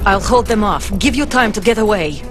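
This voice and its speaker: A woman acts out a steely soldier from a video game, a mother ready to sacrifice herself. She speaks tersely and gravely, at a middling pitch, under a booming soundtrack. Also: expressive, a little fast, lightly acted. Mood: grave, urgent. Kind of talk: dramatic video game voice acting. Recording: loud music behind the dialogue. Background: loud game soundtrack.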